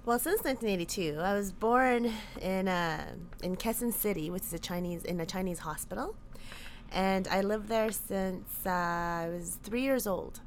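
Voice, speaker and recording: A woman's voice: medium pitch, moderate pace, a little hiss or hum. A woman in her thirties, born in the Philippines, answering interview questions in a chatty way that often breaks into laughter. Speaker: podcast guest.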